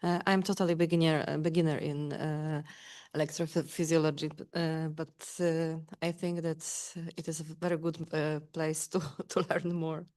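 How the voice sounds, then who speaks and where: Woman, Polish accent, lowish flat voice, hesitant, distant and quiet. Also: moderate pace. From Poland. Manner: hesitant, many fillers. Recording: quiet voice from across a room.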